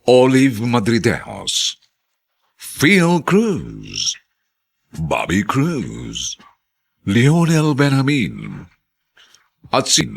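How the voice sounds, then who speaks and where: A man with a Filipino accent narrating a radio drama in a solemn, theatrical, deliberate scripted style. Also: lowish voice, vocal fry, fairly bright tone, husky.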